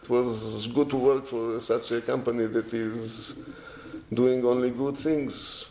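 Older Israeli-born male guest, mellow tone, hesitant delivery full of fillers, echoey room. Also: medium pitch, steady tone, takes it slowly. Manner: hesitant, many fillers and restarts. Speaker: guest, agriculture technology executive. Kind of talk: unscripted interview answers.

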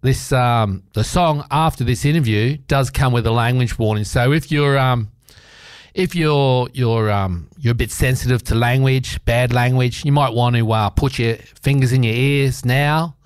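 A man's voice: medium pitch, unhurried, clean tone, no room sound. Australian man, radio host, rambling casual delivery, dry close sound. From South Australia. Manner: rambling, chatty, with many fillers. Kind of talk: casual community radio chat.

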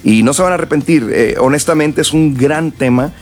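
A man from Mexico and South Texas, a musician guest chatting casually, relaxed and rambling with many fillers. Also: on the high side, fairly quick, soft background music. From northern Mexico and South Texas. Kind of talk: casual interview chat.

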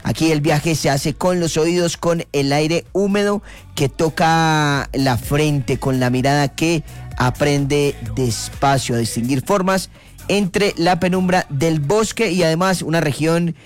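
A Colombian man hosts a casual radio show in a fairly high voice. He moves between chatty banter and read-out promos. Music plays behind him. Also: a little slow. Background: background music.

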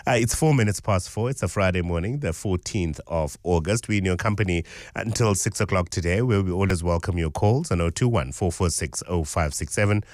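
A man hosting a morning radio show, chatty and joking, his voice slightly croaky from a cold. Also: low voice, a little fast, hardly any pauses, no echo, studio quality. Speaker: radio breakfast show host. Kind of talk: casual morning radio chat.

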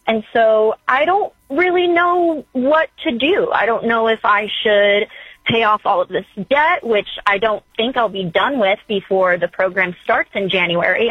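A woman's voice: on the high side, slightly rough, no room sound. A woman in her twenties calling in to ask for advice, talking in a hesitant, rambling way with many fillers. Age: 20s. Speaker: caller. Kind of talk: call-in advice question. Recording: dull phone line.

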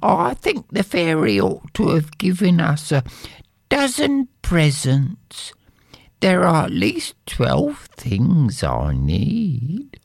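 A woman's voice: wildly swooping pitch, takes it slowly, vocal fry, a little mellow, slightly rough, dry recording, clean studio sound, exaggerated voice. A woman voicing a poor, fretful peasant wife who wails and moans melodramatically. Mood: complaining. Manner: shrill and melodramatic, moaning. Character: poor, wailing peasant wife, middle-aged, fretful and dramatic.

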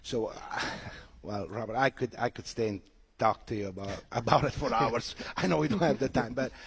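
A man from Romania answering interview questions as a resort representative, speaking haltingly with frequent hesitations. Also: medium pitch, slightly rough. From Romania (Transylvania). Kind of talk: unscripted radio interview answers.

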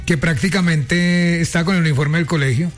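A man's voice: fairly high pitch, fairly even intonation, fairly quick, faint music behind. A man co-hosting a radio talk show, joking and chatting casually. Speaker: radio co-host.

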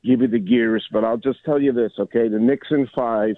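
A man's voice: medium pitch, sing-song, moderate pace, vocal fry, dry recording. A man in his sixties calling in to a radio show from a coffee shop, rambling and repeating himself in a chummy way. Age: 60s. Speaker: caller. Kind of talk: rambling phone-in call. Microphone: phone. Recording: tinny dry phone line.

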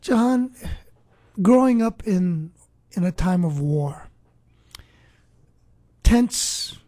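A woman with a mellow, relaxed tone, recorded close and dry. She hosts a radio program, speaking earnestly and deliberately, with a preacherly way of phrasing things. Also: on the low side, no room sound. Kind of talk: scripted radio introduction and interview.

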